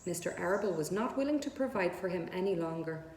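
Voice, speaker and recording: Woman reading a kids book out loud, soft mellow tone, echoey room. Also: on the low side, animated, quick pace, slight background noise. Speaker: read-aloud storyteller. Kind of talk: expressive children's book narration.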